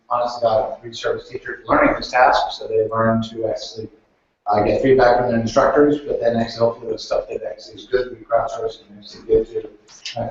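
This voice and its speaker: Male professor, rambling intro, far off-mic, dull sound. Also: medium pitch. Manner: rambling, says "actually" often. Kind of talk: self-introduction in a group meeting. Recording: very echoey, distant, dull.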